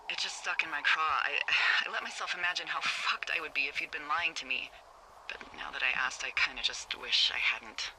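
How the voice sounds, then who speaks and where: An American actress playing a sardonic, anxious female fire lookout in a video game, with a blunt, expressive delivery. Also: low voice, a little hiss or hum, heavily acted, poor audio. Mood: subdued, uneasy. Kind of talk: naturalistic video game voice acting.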